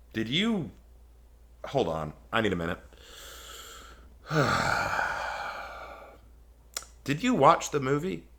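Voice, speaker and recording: A man's voice: medium pitch, pushed and bright. A man giving casual, opinionated video commentary, arguing emphatically with sarcasm and incredulous rhetorical questions. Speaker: video commentator hosting a response video.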